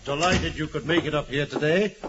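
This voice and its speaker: A man's voice: a little high, fairly quick, close to an everyday voice. A man playing a hearty, persuasive prison warden in a 1940s radio drama. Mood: welcoming, brisk. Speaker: radio drama supporting actor. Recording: dull, noisy vintage radio recording.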